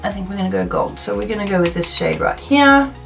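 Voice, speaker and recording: A woman's voice: mid-range voice, expressive, fast talker, creaky, a bit bright, soft background music. A woman in her thirties from South Africa, chatting and thinking aloud as she does her makeup in a get-ready-with-me video. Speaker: beauty vlogger. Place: plain indoor room.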